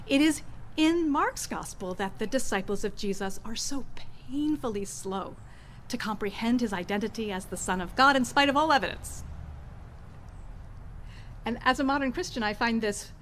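A middle-aged woman pastor preaching a sermon in an outdoor church garden, speaking into a stand mic at a lectern with expressive pauses. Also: medium pitch, a little hiss or hum.

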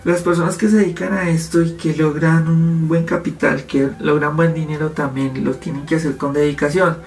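A man's voice: on the high side, flat delivery, moderate pace. A man, talking to camera from a home office and explaining his topic, gesturing as he speaks. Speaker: YouTube host. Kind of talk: casual commentary to camera. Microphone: fixed camera, medium framing.

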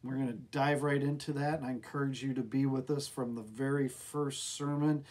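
A middle-aged man with an American accent speaks as a pastor. His voice sits at an average pitch for a man. He delivers a devotional talk to camera, recorded indoors in a small office. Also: fairly even intonation, moderate pace, a little mellow.

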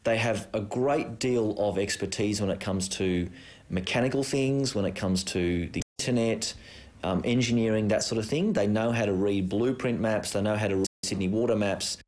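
A man's voice: on the low side, moderate pace, a little mellow. A man, a journalist, giving casual interview answers with some fillers.

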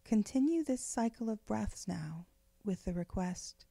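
A woman leading a guided meditation in a gentle, unhurried, soothing way, with phrases often trailing off into breath. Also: medium pitch, expressive, vocal fry, no echo. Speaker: meditation guide, spiritual director.

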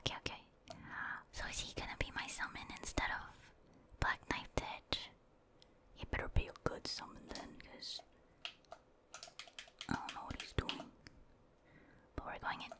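A woman whispering casual, slangy ASMR commentary as she plays a video game, reacting softly and chatting to viewers. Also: moderate pace, long pauses. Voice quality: soft unvoiced whisper. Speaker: streamer, gaming ASMR creator.

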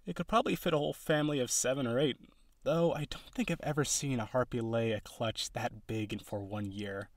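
A man's voice: mid-range voice, fairly quick, no room sound, lightly acted. A man voicing an eager, awkward, insecure young male harpy in an audio roleplay, talking to the listener in an earnest, rambling, nervous way. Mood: proud, eager.

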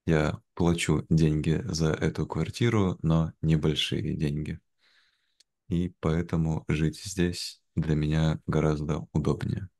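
A young man speaking in a careful, measured way in a simplified conversation for learners, on a video call from home. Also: rumbling low, level tone, a little mellow. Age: young adult. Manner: measured, careful, deliberate phrasing. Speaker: guest, language teacher. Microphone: video call, wearing headphones.

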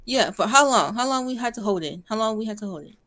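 A woman's voice: a little high, expressive, fast, fairly bright tone, no echo. A young woman playing a nosy, teasing friend, slangy and challenging, in an improvised game roleplay. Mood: questioning.

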